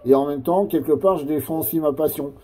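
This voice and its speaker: A man chatting casually as an amateur radio operator, in a hesitant, halting way that often repeats phrases. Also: fairly high pitch, warm tone, slightly rough.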